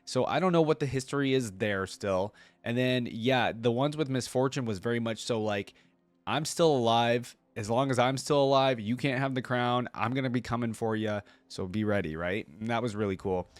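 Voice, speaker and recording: A man's voice: mid-range voice, normal speed, dry recording. A man hosting a reaction video, giving casual, informal commentary and thinking aloud as he goes.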